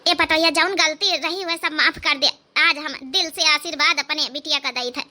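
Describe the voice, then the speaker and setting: A woman's voice: steady tone, moderate pace, pushed and bright. A woman voicing a spiteful, grumbling middle-aged village mother-in-law with an Awadhi accent in a cartoon comedy. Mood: wheedling. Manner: grumbling, shrill, theatrical. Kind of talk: cartoon comedy drama dialogue.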